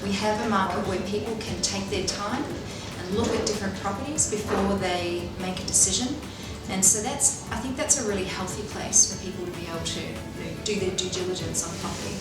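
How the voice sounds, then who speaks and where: A woman's voice: mid-range voice, loud music behind the voice. Businesswoman in an echoey room. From Australia. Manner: measured, businesslike, with frequent fillers and restarts. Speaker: interviewee. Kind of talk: interview answers. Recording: echoey room, far from mic, loud background noise.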